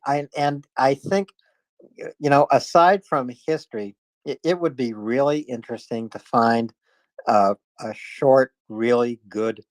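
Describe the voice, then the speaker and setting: A man hosting a live online discussion, speaking casually in a halting, hesitant way. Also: medium pitch, a little mellow, no room sound. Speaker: host of a live audio discussion space. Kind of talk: casual announcing, chatting with guests. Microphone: live online audio chat.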